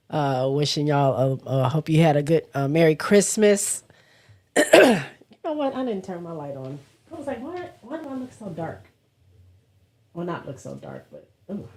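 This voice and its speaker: Raspy woman host fighting off a cold, stuffy and hoarse, halting delivery with frequent throat-clearing, casual live chat with viewers. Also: low-pitched, big pitch swings, darkish tone. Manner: halting, often stops to clear her throat. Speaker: livestream host. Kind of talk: casual livestream chat, reading viewer comments.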